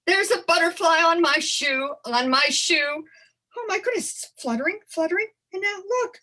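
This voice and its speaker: A woman presenting a storytelling workshop in an animated, theatrical and encouraging conversational manner. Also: very high-pitched, moderate pace. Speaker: storytelling presenter and early literacy educator. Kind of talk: workshop demonstration with storytime performance.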